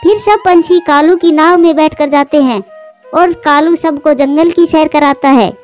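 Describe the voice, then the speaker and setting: A woman's voice: high-pitched, unhurried, darkish tone, faint music behind. A woman narrating a scripted children's animal fable as a storyteller.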